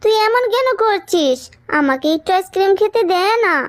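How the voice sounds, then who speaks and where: A woman's voice: very high-pitched, expressive, moderate pace. A woman voicing a sweet little girl animal in a children's fable cartoon, childlike and wheedling. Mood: pleading.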